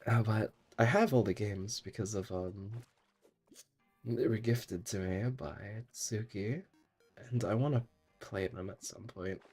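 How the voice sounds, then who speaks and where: Slow-talking young man, sick, faint music. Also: on the low side, darkish tone, slightly rough. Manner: rambling, laughs often, trails off. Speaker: streamer. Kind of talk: casual gaming stream chat.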